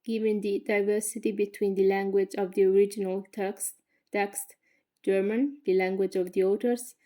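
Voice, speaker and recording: A young woman with an Italian accent reads her prepared thesis presentation in English. Her delivery is flat and even, careful and measured, with the odd slip as she corrects herself. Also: medium pitch. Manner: careful, measured reading with slips. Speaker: graduate student.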